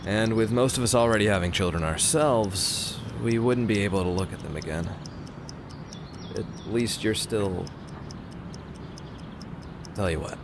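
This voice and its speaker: A man's voice: fairly low pitch, a little slow, long pauses. A man acting in a radio play as a weary, reluctant gang member, speaking hesitantly and conversationally. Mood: subdued, friendly. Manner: hesitant, apologetic, throat-clearing, conversational. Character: weary, reluctant crime-gang family man giving orders. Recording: clear ambient noise, ticking clock.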